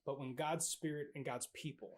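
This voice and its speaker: Man, fairly high voice, measured sermon delivery in brief phrases. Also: fairly even intonation. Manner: measured, pausing after each phrase. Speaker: evangelist. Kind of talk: sermon in short phrases for an interpreter.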